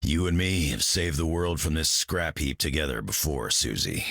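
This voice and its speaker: Gravelly rock-hided brawler. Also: male voice, a bass voice, lively intonation, normal speed, fairly bright tone, no room sound, studio quality, heavily acted. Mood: gruff. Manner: blunt, folksy. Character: gruff rock-skinned male brawler, blunt and weary. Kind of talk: video game voice acting.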